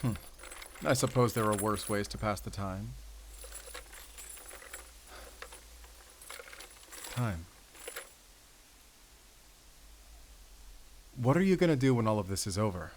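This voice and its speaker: A man playing a battle-worn, calm and wry werewolf hunter in an audio roleplay, speaking deliberately in short, paused phrases with quiet tenderness. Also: fairly low pitch, a little hiss or hum, lightly acted. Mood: pensive, tender. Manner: measured, deliberate, pausing between short phrases. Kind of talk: cinematic audio roleplay acting.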